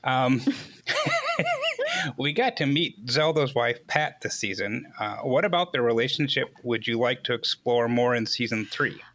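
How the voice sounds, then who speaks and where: A man's voice: mid-range voice, wildly swooping pitch, unhurried, fairly bright tone. A man hosting a casual panel interview in a playful way. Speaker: interview host.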